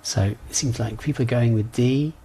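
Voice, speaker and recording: A man's voice: medium pitch, normal speed, warm tone, slight background noise. A man working as a coach trainer, leading an informal online lesson in a conversational way, often hesitating as he thinks aloud. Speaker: coach trainer leading exam preparation session.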